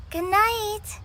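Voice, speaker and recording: A woman's voice: very high voice, sharp tone, heavily acted. A woman voicing a cheerful, friendly young girl in a video game.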